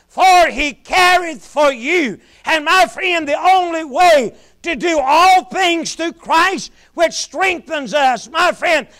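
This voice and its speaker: High-pitched man preaching from a pulpit. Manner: preaching in rising shouts, then dropping to a hush. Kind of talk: sermon. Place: small church sanctuary, at a pulpit.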